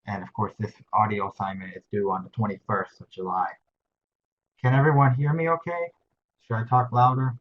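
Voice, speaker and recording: A quiet-voiced young man gives a screen-share tutorial with a lowish voice and frequent hesitations. The sound comes through a dull, muffled webcam mic, with a faint hiss underneath. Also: steady tone. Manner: soft-spoken, halting with many uh fillers. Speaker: teaching assistant. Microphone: webcam microphone.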